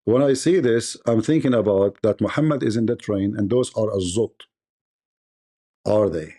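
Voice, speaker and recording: Combative mocking man with a mellow voice. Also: lowish voice, moderate pace. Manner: combative, mocking, repeats questions insistently. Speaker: debate host. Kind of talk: live call-in debate.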